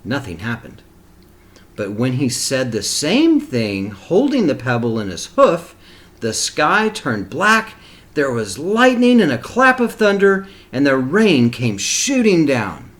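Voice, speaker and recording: A man reading a picture book aloud to young children, expressively and with deliberate phrasing. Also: mid-range voice, wildly swooping pitch, a bit bright. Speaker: storybook reader for kindergarten children. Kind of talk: read-aloud storytelling with short asides.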